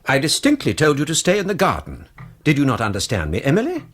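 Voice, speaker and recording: A stern English Victorian father in a radio play. His husky voice swings widely in pitch, and he speaks with formal, pompous authority. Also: huge pitch swings, quick pace, a bit bright, exaggerated voice. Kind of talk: 1990s radio drama acting.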